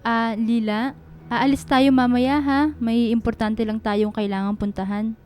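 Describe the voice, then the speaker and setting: A woman plays a middle-aged mother, caring and homely, in a radio drama. Her voice is on the high side, with soft music behind it. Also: fairly even intonation, unhurried, close to an everyday voice. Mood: motherly, calm.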